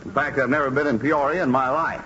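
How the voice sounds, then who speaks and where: A man's voice: medium pitch, steady tone, fast talker, crowd noise, close to an everyday voice. An actor playing a genial, frank male freeloading houseguest in a 1940s radio comedy. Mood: frank, confessing. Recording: muffled 1940s radio recording.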